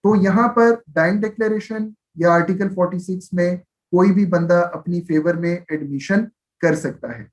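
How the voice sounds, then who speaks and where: A man with a Pakistani accent lecturing on law in a live class, explaining step by step, switching between two languages and often adding short check-in tags. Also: high-pitched. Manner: explanatory, with frequent check-in tags and slow, measured reading of English passages; switches between Urdu and English. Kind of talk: live exam-prep lecture, explaining and reading out statutes.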